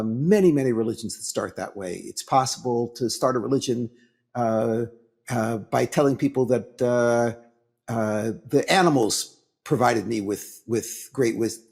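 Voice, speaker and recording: Male rabbi, husky rough-edged voice, mid pitch, religious lecture, halting deliberate phrases, emphatic pauses. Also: darkish tone.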